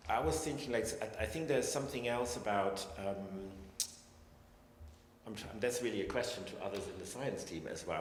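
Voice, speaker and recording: A man's voice: fairly low pitch, slight background noise. A man speaking as a scientist on a panel, reflective and hesitant, with many restarts. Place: large echoey hall. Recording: echoey hall with long reverb, faint ambience.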